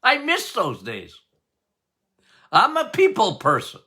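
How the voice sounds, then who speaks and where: An older man hosting a health podcast as a doctor, talking loudly and passionately, with frequent laughs. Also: high-pitched, huge pitch swings, slow pace. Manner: loud, emphatic, passionate, laughs often. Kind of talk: casual health podcast talk.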